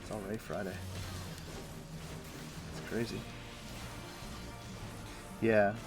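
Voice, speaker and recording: A man's voice: lowish voice, little pitch movement, takes it slowly. A young man streaming a game from home, chatting casually with many fillers and restarts. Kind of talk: casual gameplay chat. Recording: music playing behind voice. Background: music.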